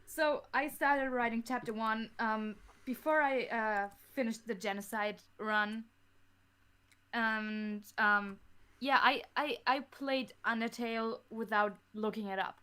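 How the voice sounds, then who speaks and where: Hesitant, giggly woman with higher voice. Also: steady tone, slow, pushed and bright, smooth. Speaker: guest writer of a fan audio drama. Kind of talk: casual chat about their own writing.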